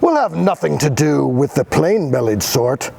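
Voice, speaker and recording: A man's voice: on the high side, sing-song, normal speed, creaky, warm tone, breathy edge, full character voice. Man doing snooty storybook creatures, haughty, sniffy, bragging.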